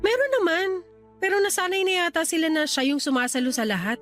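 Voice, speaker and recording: An actress in a Tagalog radio drama playing a weary, resentful young wife who confides plaintively, with sighs. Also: female voice, extremely high pitch, expressive, fast, fairly bright tone. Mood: fed up. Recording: music bed behind the voice.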